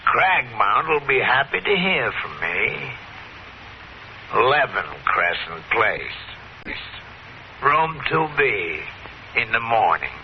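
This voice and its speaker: An actor playing a sly, menacing blackmailer in a 1950s radio horror drama, taunting and insinuating in clipped, casual phrases. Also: male voice, mid-range voice. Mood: smug, threatening. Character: sly, menacing male blackmailer, former asylum worker. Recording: muffled, hissy vintage radio recording.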